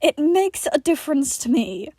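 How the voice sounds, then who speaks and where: A woman's voice: high-pitched, big pitch swings, normal speed, warm tone, no room sound, studio quality, voice pushed up. A woman voicing a prim, wary, exasperated man with a huffy, long-suffering manner in a story reading.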